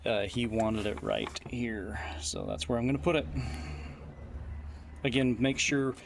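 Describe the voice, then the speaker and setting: A man narrating a casual how-to as an installer, explaining informally step by step while he works. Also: on the low side. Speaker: installer giving a tutorial. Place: in and around a utility vehicle in a garage or shop. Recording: noisy workshop ambience behind voice.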